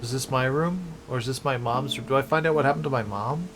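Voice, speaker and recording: A man's voice: medium pitch, quick pace, background noise. A man doing casual gameplay commentary as a streamer, musing aloud in short questions and repeating himself. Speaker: game streamer. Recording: game music behind the voice.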